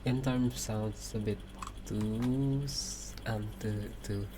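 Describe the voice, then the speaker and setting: A man giving casual live gameplay commentary, halting and thinking aloud as he plays. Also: fairly low pitch, fairly even intonation, noisy background. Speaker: game streamer. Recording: loud game music behind voice. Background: game music.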